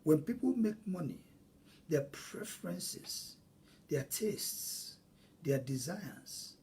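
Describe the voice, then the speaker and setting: A man's voice: on the high side, very animated, slow pace, mellow, breathy edge. Nigerian guy giving earnest money advice, repeats himself for stress, igbo is his first language. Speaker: host. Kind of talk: solo money advice talk.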